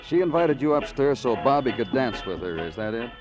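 An actor playing a laconic police detective who questions in a clipped, dogged way, in old-time radio drama style. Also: male voice, medium pitch, big pitch swings, fairly quick, close to an everyday voice. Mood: dry, pressing. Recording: muffled vintage radio recording, music behind.

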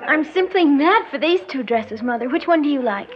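An actress playing an eager, gushing nineteen-year-old daughter in an old radio drama. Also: female voice, sits high, animated, a little fast, soft background music. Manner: gushing, youthful exclamations. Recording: muffled old radio recording with hiss.

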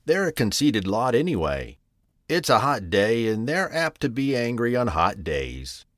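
A man's voice: mid-range voice, very animated, measured pace, a bit bright, no echo, clean studio sound, pitched above the performer's own voice. A man voicing a kind, blunt little male spider in a storybook. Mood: reassuring.

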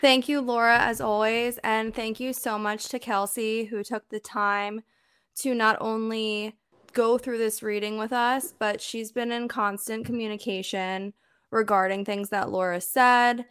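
Woman, hoarse, higher pitch, smooth voice, podcast outro. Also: flat delivery, unhurried, a bit bright. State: hoarse from a cold. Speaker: podcast co-host.

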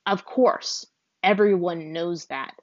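A woman's voice: medium pitch, animated, moderate pace, a bit bright, dry recording, muffled recording. A woman voicing a stubborn, suspicious teenage boy who speaks curtly and dismissively. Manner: curt, dismissive.

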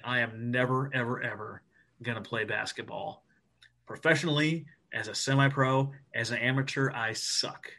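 A man from the United States, a Navy officer guesting on a podcast, talking in an animated, emphatic and self-deprecating way. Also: medium pitch. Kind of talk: casual podcast interview.

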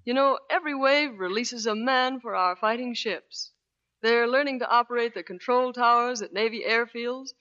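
A woman's voice: on the high side, normal speed, bright, forceful tone. Woman officer on muffled 1940s radio. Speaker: Navy officer, radio guest. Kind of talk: scripted 1940s radio interview. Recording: dull lo-fi 1940s radio recording.

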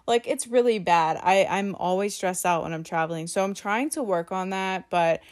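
A woman's voice: medium pitch, moderate pace. A woman in her twenties hosting a solo podcast, talking in a chatty, self-deprecating way with lots of "like". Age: 20s. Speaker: podcast host. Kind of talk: casual solo podcast chat.

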